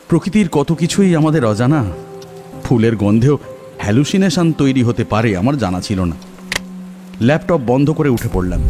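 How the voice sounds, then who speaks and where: A man narrating a first-person horror story in a dramatic style. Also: medium pitch. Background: background music.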